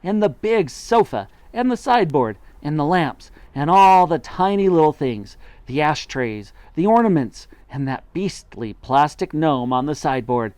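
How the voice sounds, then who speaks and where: A reader voicing an excited, bossy father monkey who shouts urgent commands in a high, loud voice. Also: a little slow, hardly any pauses, no echo, lightly acted.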